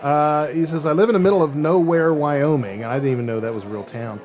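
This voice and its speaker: A man's voice: a little high, a little fast, a little mellow. A man hosting a casual podcast, reading out listener mail and bantering in a joking, teasing way. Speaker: podcast host.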